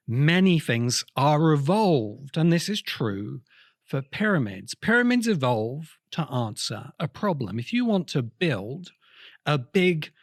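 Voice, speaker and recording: A man hosting a podcast, explaining his argument in a deliberate, emphatic way with pauses. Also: on the high side, no echo, studio quality. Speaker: podcast host. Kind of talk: explanatory podcast monologue, essay-like commentary.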